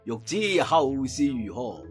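A man's voice: mid-range voice, big pitch swings, slow, soft background music. A man with a Cantonese accent telling a story in a dramatic traditional audiobook style.

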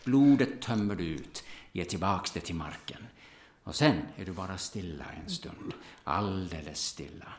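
Guy acting gruff old-hand hunter, brooding and bossy, low lively voice, echoey radio play. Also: slight background noise. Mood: reverent, hushed. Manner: instructive, brooding, commanding. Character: gruff, seasoned male hunter, solemn and methodical. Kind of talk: audio drama acting.

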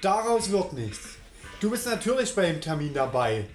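A man's voice: sits high, very animated, moderate pace, faint music behind. A man playing an irritable, blustering middle-aged father in a German audio drama, grumbling and scolding indignantly. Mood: angry, scolding. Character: irritable, blustering father home from night shift. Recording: roomy sound with clear background noise.

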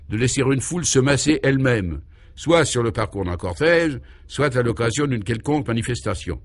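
A man voicing a self-assured local who proudly explains an absurd government in a pompous, emphatic way, in a comic radio serial. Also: medium pitch. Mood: pompous, instructive. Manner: pompous, mock-official, emphatic.

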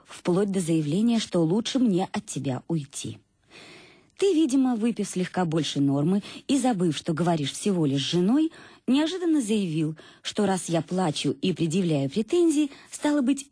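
An actress playing a reflective, embittered middle-aged wife in a radio play, confessing with irony to her absent husband in a husky voice. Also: medium pitch, warm tone, no room sound, clean studio sound. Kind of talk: dramatic first-person monologue in a radio play. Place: dry studio.

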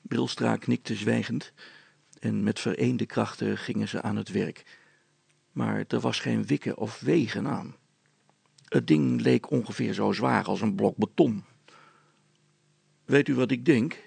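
A male storyteller reading a children's tale in a dramatized way. His voice is mid-pitched, husky and full of creak, recorded very dry. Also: steady tone, unhurried, a little mellow, no room sound. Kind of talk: dramatized children's audiobook narration.

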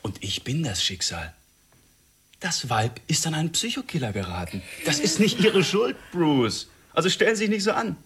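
A man's voice: a little high, sing-song, normal speed, fairly bright tone, a little hiss or hum. A man playing a menacing, mocking psychopathic killer in a radio drama, with a taunting, sardonic delivery. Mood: gleeful cruelty.

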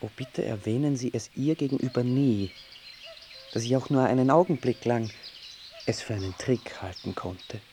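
An actor playing a dreamy, earnest young gentleman in a theatrical audio drama, speaking in a deliberate, musing way. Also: mid-range voice, a little hiss or hum, natural voice. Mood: embarrassed. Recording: outdoor ambience with birdsong behind.

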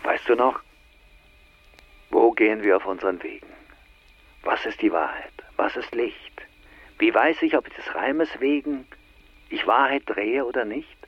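Husky, hushed older male philosopher. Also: medium pitch, a little slow, long pauses, lo-fi sound. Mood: hushed, solemn. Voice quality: breathy, husky. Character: older male mystic philosopher, searching and solemn. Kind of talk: radio play, poetic dialogue.

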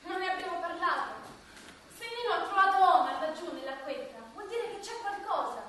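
A woman's voice: very high voice, expressive, a little fast, fairly bright tone. An actress playing an insistent, determined woman in a stage-like radio drama. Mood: urgent. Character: insistent, determined woman urging others to investigate. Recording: very echoey, distant, faint noise.

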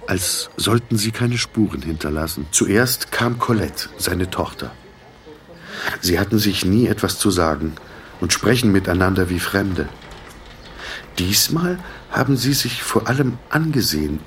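An actor playing a sober, detached storyteller, narrating a literary radio drama in a measured manner. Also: male voice, low-pitched, lively intonation, a little hiss or hum.

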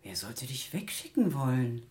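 A woman's voice: very deep voice, sing-song, normal speed, darkish tone, husky, exaggerated voice. An actress playing a steadfast, reproachful older foster mother in a German radio drama, speaking firmly and insistently. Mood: practical, questioning.

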